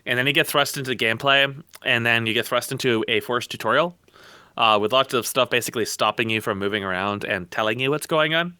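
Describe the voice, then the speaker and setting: A man's voice: medium pitch, quick pace, bright, forceful tone, smooth, dry recording. A man giving opinionated podcast commentary on gaming in a blunt, scornful, emphatic way.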